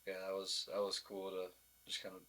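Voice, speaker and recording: Young male ballplayer talking casually, voice a bit low and very flat. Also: a little fast. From United States. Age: young adult. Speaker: baseball player, pitcher, interview guest. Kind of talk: casual interview chat.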